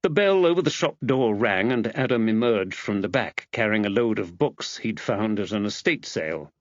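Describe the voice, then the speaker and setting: Guy narrating a mystery audiobook, lively pitch, dry close sound, bit muffled. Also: normal speed, dry recording. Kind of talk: scripted mystery novel narration.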